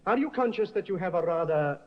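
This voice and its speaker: An actor playing a sharp, persistent defense barrister cross-examining witnesses in an old radio drama, heard through a dull restored broadcast. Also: male voice, high voice, animated, fairly quick. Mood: pressing. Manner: probing, pressing cross-examination. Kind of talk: 1950s radio acting.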